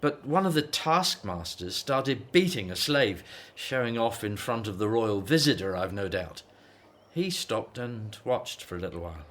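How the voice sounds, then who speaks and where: A man's voice: fairly low pitch, very animated, normal speed, fairly bright tone. An actor in a radio drama playing a weary, aging Hebrew slave father who is patient, earnest and devout. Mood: hopeful, troubled.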